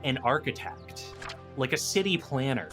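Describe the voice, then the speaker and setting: A man in a casual podcast discussion, stopping and restarting as he thinks out loud, with animated asides. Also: mid-range voice, measured pace, music in the background. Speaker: podcast guest.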